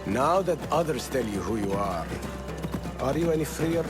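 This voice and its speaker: A man's voice: mid-range voice, lively intonation, moderate pace, over loud music, close to an everyday voice. Game casting: man to play a challenging, defiant male rebel chief, proud, with swooping mid-range delivery. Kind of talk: dramatic video game acting.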